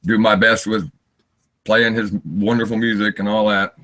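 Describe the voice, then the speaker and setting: Raspy 50 yr old guy, low voice, rambles and laughs a lot. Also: steady tone, moderate pace, a bit bright. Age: 50s. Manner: wandering, candid, laughs often. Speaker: interview guest, singer. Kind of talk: rambling live interview chat.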